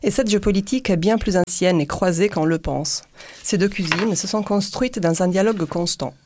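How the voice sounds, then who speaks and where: A woman with an Italian accent, hosting and reading a reflective segment in a measured way, with occasional repeated syllables. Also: mid-range voice, noisy background. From Italy. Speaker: podcast host. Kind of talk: reflective scripted commentary.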